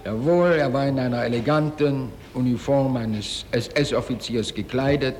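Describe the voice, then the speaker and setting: An actor plays a male survivor on the witness stand in a radio drama. He speaks slowly and precisely in a measured, formal way, with pauses between phrases. The hall rings around him, with faint noise underneath. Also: medium pitch, darkish tone, close to an everyday voice. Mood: measured, solemn. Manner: slow, deliberate, formal, pausing between phrases. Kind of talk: halting courtroom testimony in a radio play.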